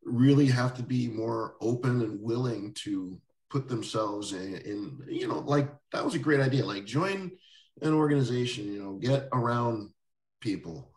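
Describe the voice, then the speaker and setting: A man hosting a casual interview, rambling a little, with restarts and soft laughs. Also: mid-range voice, unhurried. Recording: roomy echo, distant mic.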